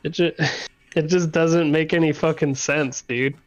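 Casual male, higher-pitched, unhurried, slangy and joking, dry close recording. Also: fairly even intonation, no echo, muffled recording. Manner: profane, slangy, jokey. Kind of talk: casual joking chat among friends.

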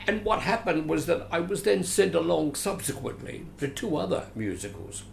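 A man in his late seventies with an Australian accent, telling stories about his acting career in an animated, theatrical, chuckling way, recorded at home. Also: fairly high pitch, normal speed, gravelly. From Adelaide, Australia. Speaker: guest, veteran actor. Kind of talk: storytelling interview. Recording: roomy echo, faint hiss.

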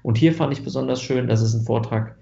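A man's voice: medium pitch, fast talker, vocal fry, dark tone, echoey room, highs cut off. A German man answering interview questions about genetics research, thoughtful, with many fillers and restarts.

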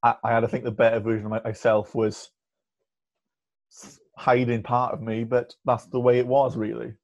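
A man's voice: medium pitch, flat delivery, moderate pace, a little mellow. A young man in his twenties, a podcast guest speaking candidly with a stammer, blocking on and repeating sounds. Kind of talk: candid conversational interview. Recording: muffled lo-fi audio.

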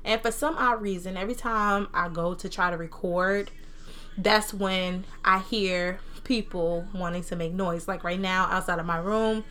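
A young woman from New Jersey with a mixed Jersey and Southern American accent, chatting casually and animatedly as a solo podcast host. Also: on the low side. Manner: animated, informal, slangy. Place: own room at home.

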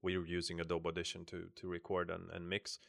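A man hosting a casual podcast, relaxed and thinking aloud with many fillers. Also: sits low, quick pace, creaky, no echo, studio quality. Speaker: podcast host.

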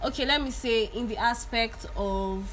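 A young woman with a Nigerian accent in casual chat, animated and opinionated, slipping into pidgin. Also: a little high, moderate pace, a little hiss or hum.

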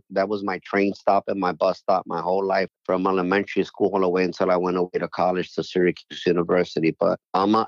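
A man's voice: sits low, dry recording, dull audio. A middle-aged man from New York City, a jockey agent speaking as a guest in a casual interview, friendly and rambling with lots of "you know".

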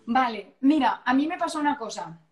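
A woman's voice: sits high, lively intonation, a little fast. A woman with an accent from Spain chatting casually as a guest, playful and digressive, often interrupting herself. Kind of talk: casual chat. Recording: echoey room sound.

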